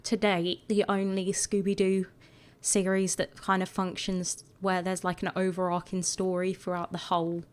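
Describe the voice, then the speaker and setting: A woman with a croaky voice, chatting casually and rambling a bit as she talks over her video. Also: lowish voice, completely flat delivery, normal speed, few pauses. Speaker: gaming video creator.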